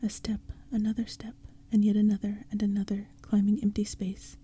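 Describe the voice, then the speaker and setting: Woman, whispered storybook narration, very breathy, dark mellow tone. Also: mid-range voice, monotone, normal speed. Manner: soft, hushed, ASMR-like.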